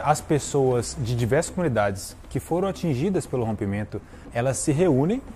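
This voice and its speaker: A man's voice: medium pitch, darkish tone, slight background noise. A Brazilian man, an operations manager, giving an explanatory interview statement.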